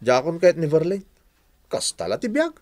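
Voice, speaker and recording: A man playing an excitable, easily disappointed young bachelor in a radio drama, with an Ilocano accent. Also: fairly high pitch, brisk. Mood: dejected.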